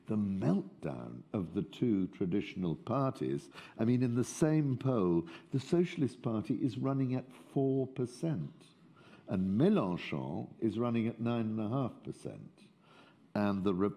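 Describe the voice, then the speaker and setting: A man speaking as an expert on a policy panel, measured and analytical with occasional restarts. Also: sits low, warm tone.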